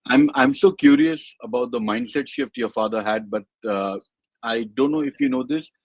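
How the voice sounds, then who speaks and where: A man with an Indian accent hosting a casual live-stream interview, haltingly searching for his words. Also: mid-range voice, a little mellow, no room sound, rough recording. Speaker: podcast host.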